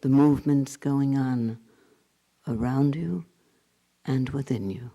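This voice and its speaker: A man leading a guided meditation as a spiritual teacher, speaking in short phrases with long pauses and hushed endings. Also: medium pitch, fairly even intonation.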